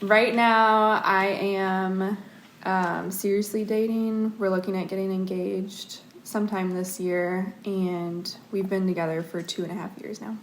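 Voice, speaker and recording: A woman of twenty-six, a podcast guest, speaking softly and a little hesitantly. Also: medium pitch, flat delivery, a little slow, a little hiss or hum. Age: 20s. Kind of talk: casual conversation. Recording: echoey room from a distance.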